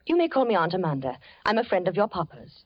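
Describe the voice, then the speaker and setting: A woman's voice: on the low side, very animated, fast talker, dry recording. A gracious, probing young lady. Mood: coaxing. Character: polite, curious young woman courted by a widower. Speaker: radio drama actress. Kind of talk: 1940s radio acting. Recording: dry, dull 1940s radio recording with faint music.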